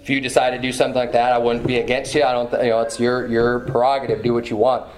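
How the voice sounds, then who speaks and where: A man in his thirties preaching a sermon from a pulpit, emphatic, repeating phrases and climbing in pitch when stirred. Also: little pitch movement, fast talker, poor audio. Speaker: pastor. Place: small church hall with banner, indoors. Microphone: far camera, at a pulpit.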